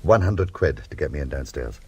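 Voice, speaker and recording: A man acting in a radio drama as a gentlemanly criminal with a dry, understated, wry manner. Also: extremely low pitch, big pitch swings, a little fast, slightly rough, exaggerated voice. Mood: dry, sly.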